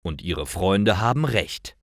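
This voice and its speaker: A man reading simple stories as a narrator for language learners, in a deliberate and expressive storytelling style. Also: sits low, no room sound, clean studio sound. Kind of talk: scripted storytelling narration, read slowly for learners.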